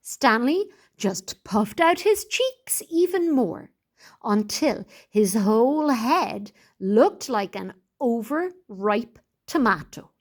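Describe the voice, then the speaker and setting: A woman teacher reading a children's storybook aloud in a deliberate, expressive way with clear phrasing. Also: a little high.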